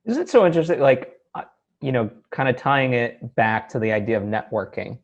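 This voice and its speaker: A man's voice: medium pitch, lively intonation, brisk, lo-fi sound. A man hosting an interview in a casual, reflective conversational style. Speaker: interviewer, podcast host.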